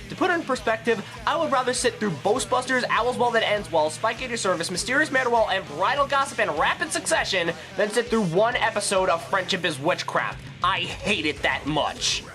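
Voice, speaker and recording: A man delivering a scripted critical review in a ranting, sarcastic, exasperated manner. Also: high-pitched.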